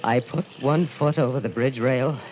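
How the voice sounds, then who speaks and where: A man's voice: medium pitch, moderate pace, noisy background, close to an everyday voice. An actor playing a despairing, world-weary man who tells his story melodramatically in an old-time radio drama. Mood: brooding, bleak. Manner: melodramatic, brooding. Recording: old radio recording with music.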